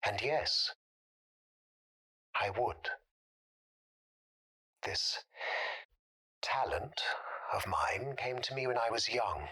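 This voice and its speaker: Actor as a husky, courteous, sinister forgotten man. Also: mid-range voice, lively intonation, sharp tone, heavily acted. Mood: cold, resolute. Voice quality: husky, breathy. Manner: courteous, deliberate, formally worded. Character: polite, sinister man nobody can remember. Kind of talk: audio drama acting.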